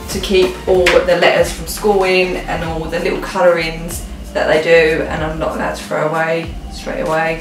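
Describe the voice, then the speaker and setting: Young British female vlogger, informal and rambling, recorded at a distance in a roomy home with music behind. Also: deep voice. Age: young adult. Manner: informal, rambling, thinking aloud. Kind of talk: casual chatty haul vlog. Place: living rooms at home. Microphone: camera a few feet away.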